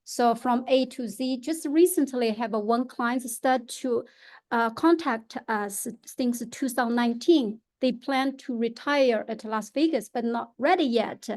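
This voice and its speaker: A middle-aged woman with a Mandarin Chinese accent, a real estate agent speaking halting English full of fillers and restarts in an interview. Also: on the high side, a little mellow. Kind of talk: interview guest, unscripted.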